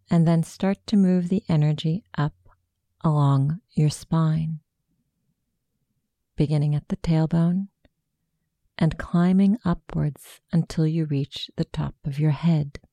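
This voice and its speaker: A woman narrating a guided meditation in a slow, hushed, soothing way of instructing. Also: deep voice, no echo.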